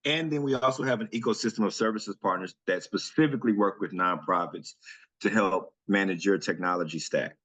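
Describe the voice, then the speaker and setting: A man presenting a nonprofit webinar over a video call, talking in a relaxed, chatty way with frequent ums and restarts. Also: on the low side, a little fast. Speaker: senior director presenting a webinar. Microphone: computer mic on a video call.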